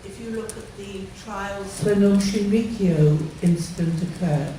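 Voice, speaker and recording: Woman, loud direct audience question, far from the mic, clear background noise. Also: medium pitch, a little slow. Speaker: audience member asking a question. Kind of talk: conference floor question. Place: conference hall. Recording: echoey hall, distant, noisy.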